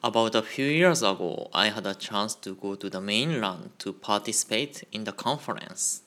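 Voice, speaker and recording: A man giving a Buddhist Dharma talk on a radio program, in a slow, measured and careful delivery. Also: on the low side, pushed and bright. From Japan. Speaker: Buddhist minister.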